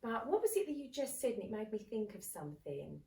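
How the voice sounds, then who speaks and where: A woman's voice: mid-range voice, brisk, gravelly, far from the mic, slight background noise. A woman in her thirties co-hosting a casual chat, talking animatedly and acting out what people said. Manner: animated, acts out quoted speech. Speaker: podcast co-host. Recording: echoey room, off-mic.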